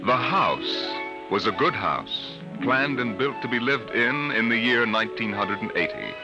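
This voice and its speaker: A man narrating a 1950s radio drama in a measured, solemn storytelling manner. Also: mid-range voice, lively intonation, muffled recording. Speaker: narrator and host. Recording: old radio recording, loud music behind.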